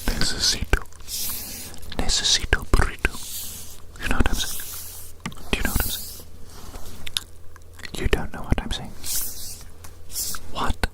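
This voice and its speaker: A man whispering a breathy ASMR roleplay monologue in a rambling, repetitive, whimsically fussy way. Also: low-quality recording.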